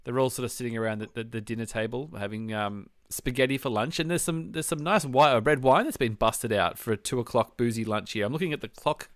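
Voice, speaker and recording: A middle-pitched Australian man co-hosts a podcast in a casual, conversational style. He rambles wryly from one thought to the next. The sound is dry, with very little room to it. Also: fast talker, few pauses, dry recording. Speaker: podcast co-host. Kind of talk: casual chat.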